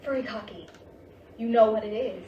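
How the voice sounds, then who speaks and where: A woman's voice: medium pitch, moderate pace, muffled recording, natural voice. A woman acting a stern, strained middle-aged mother in a staged play reading, curt and admonishing. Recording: roomy, distant mic, faint noise.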